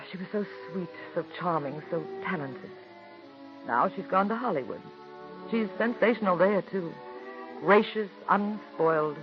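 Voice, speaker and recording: An actress playing a bitter, sharp-tongued playwright's wife, with dry, biting irony, in a 1940s radio drama. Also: female voice, on the low side, close to an everyday voice. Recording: dull old radio broadcast with music.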